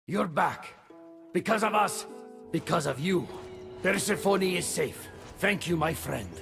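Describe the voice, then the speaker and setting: An actor playing an eager, devoted messenger god in a video game, earnest and theatrical in delivery. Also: male voice, high-pitched, expressive, measured pace, vocal fry, exaggerated voice. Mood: admiring. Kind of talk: video game cutscene acting. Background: faint background music.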